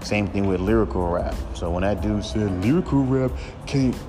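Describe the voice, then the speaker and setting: Deep-voiced man ranting with music behind. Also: moderate pace. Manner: blunt, profane, mocks others in high mimicking voice. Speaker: podcast host. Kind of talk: casual solo rant.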